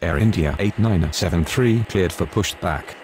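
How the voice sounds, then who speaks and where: A man plays an airline pilot talking to the tower in a video game. The voice is very deep and the scripted calls are clipped and procedural. There is faint noise behind it. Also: normal speed, darkish tone, natural voice. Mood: businesslike. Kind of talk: scripted radio phraseology.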